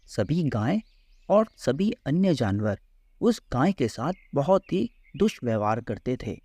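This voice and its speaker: A man narrates a children's story at an unhurried pace. His intonation rises and falls in a lively way, and the recording is close and dry. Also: mid-range voice, no echo.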